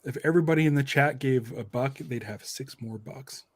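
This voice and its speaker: A man's voice: medium pitch, moderate pace. A man co-hosting a podcast, chatting casually with an informal, dryly joking manner. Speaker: podcast co-host. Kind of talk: casual chat.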